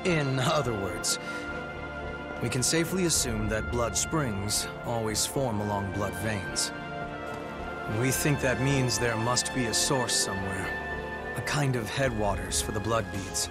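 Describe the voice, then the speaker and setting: A man voicing a steady, burly revenant comrade in a video game, plain-spoken and relaxed. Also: lowish voice, a little slow, natural voice. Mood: thoughtful, explanatory. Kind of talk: video game cutscene acting. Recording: loud music behind the voice.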